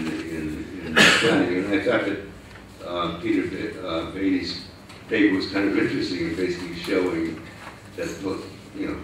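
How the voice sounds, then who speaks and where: Audience member, very deep voice, stammering, far from the mic in an echoey hall. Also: male voice, fairly bright tone, a little hiss or hum. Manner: stammering, unsure.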